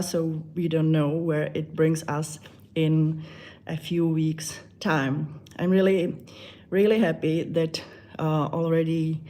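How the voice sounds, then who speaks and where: A woman with a Czech accent, a meditation channel creator chatting softly and hesitantly on a live stream, with many fillers. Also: low voice. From Czech Republic.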